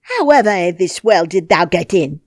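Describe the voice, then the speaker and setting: A woman's voice: on the low side, sing-song, normal speed, no room sound, studio quality. A woman doing a furious old gardener. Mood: outraged. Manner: loud, scolding in broad dialect. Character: angry old male Yorkshire gardener. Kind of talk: audiobook character voice.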